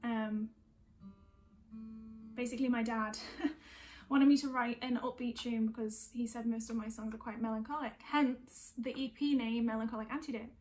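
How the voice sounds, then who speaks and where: A woman's voice: fairly high pitch, fairly even intonation, normal speed, darkish tone, soft background music. Woman singer-songwriter chatting informally. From North West of England. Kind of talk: casual chat between songs.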